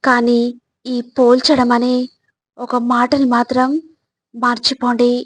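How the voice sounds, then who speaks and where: A woman reading scripted motivational narration in a measured, instructive way, phrase by phrase. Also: on the high side, little pitch movement, no room sound, rough recording.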